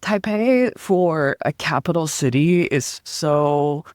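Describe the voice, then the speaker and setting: A woman from Taiwan, a comedian and guest on an interview, telling stories in an animated, chatty way and acting out the voices she quotes. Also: low-pitched, slow pace, dry recording, studio quality. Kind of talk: casual interview storytelling.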